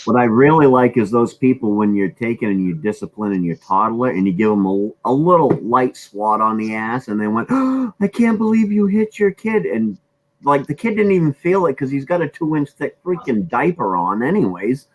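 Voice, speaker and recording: A man's voice: mid-range voice, animated, normal speed, low-quality recording. A man talking casually in a group, blunt and plainspoken.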